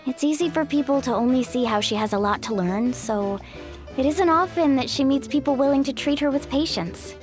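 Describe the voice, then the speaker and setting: Breezy teen girl game hero, confident big sis vibe, high and lively, music in the back. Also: a little fast. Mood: fond, supportive. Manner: casual, upbeat. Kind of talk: video game voice acting.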